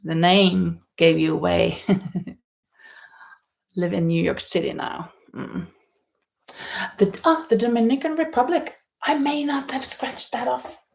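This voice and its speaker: Norwegian woman chatting slowly, creaky voice. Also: medium pitch, fairly even intonation. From Norway. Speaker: livestream host. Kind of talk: casual chat while knitting, reading out viewer comments. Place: indoors at home in a holiday stay.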